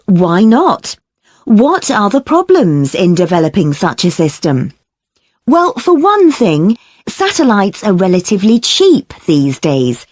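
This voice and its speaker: A woman playing a lecturer, giving a scripted academic lecture in a measured, explanatory way. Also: mid-range voice, darkish tone, no echo, studio quality.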